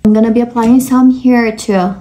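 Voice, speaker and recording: A woman's voice: on the high side, steady tone, normal speed, mellow, soft background music. A young woman from Brazil with a Brazilian Portuguese accent, talking through a makeup tutorial step by step and often saying "like". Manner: step-by-step explaining, frequent "like" fillers. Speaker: makeup vlogger.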